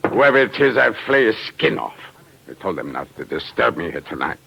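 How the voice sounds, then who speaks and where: An actor playing a cruel, sardonic Tsarist island commandant in theatrical 1940s radio horror style. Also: male voice, lowish voice, very animated, moderate pace, creaky, bright, forceful tone, full character voice. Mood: gloating. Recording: noisy, crackly 1940s radio recording.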